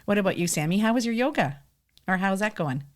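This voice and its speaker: Middle-aged woman, Canadian accent, animated pitch, mid-range voice, casual podcast co-host. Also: fast talker. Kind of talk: casual chat.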